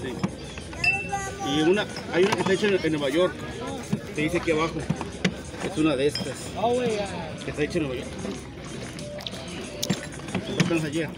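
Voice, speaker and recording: Middle-aged man vendor, quick and talkative, slightly high voice, heard from a distance outdoors. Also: loud music behind the voice. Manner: quick, explanatory, points out details. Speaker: flea market vendor. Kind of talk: explaining goods to a customer. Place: outdoor flea market stall. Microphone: picked up off-mic by the vlogger's phone.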